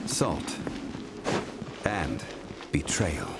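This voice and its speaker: An actor playing a calm, grave ancient god in a video game, speaking in a measured, solemn way. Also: male voice, rumbling low, creaky, heavy background noise, full character voice. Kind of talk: scripted video game dialogue.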